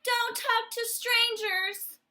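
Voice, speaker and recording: Little hen child, played by a woman, storybook. Very high, bright, excitable, earnest, urgent. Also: takes it slowly, heavily acted. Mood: urgent, alarmed. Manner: blurts out urgent warnings, pleading. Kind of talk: picture-book read-aloud.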